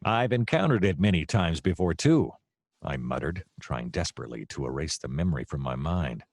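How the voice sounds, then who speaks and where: An actor playing a wry, easily distracted private detective, narrating in a long-winded deadpan comic noir style. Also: male voice, a bass voice, brisk, vocal fry, no room sound, full character voice. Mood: grumbling, wry.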